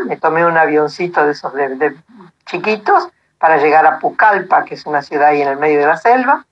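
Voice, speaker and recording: Argentine woman in her eighties, dull sound. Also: sits low. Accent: Argentine Spanish. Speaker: interview guest, traveler. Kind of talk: casual interview storytelling.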